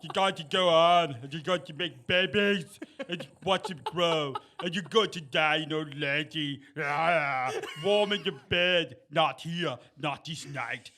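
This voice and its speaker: A man's voice: a little high, a little slow, pushed and bright. A man voicing an earnest, dying young romantic hero in a table read, shifting between improvised comic accents and voices. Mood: pleading.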